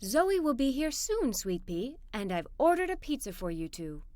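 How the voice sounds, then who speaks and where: A woman voicing an affectionate parent of a little girl in a children's storybook, fond and gentle. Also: sits high, big pitch swings, normal speed, no echo, studio quality.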